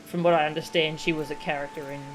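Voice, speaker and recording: A woman with a slightly low voice chats casually on a game livestream. She comments as she plays, with music underneath. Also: level tone, fast, low-quality recording. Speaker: game streamer reading dialogue aloud.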